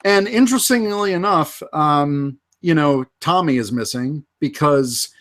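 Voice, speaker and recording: A man co-hosting a live fan podcast, casually going through toy and movie news with many pauses and fillers. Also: a little high, a bit bright. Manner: unhurried, lots of uh fillers. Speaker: podcast co-host.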